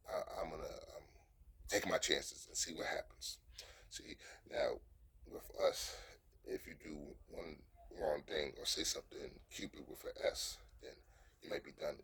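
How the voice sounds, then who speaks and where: A man's voice: extremely low pitch, breathy edge. A man giving dating advice to other men in a rambling motivational monologue, often stumbling, restarting and repeating phrases for emphasis.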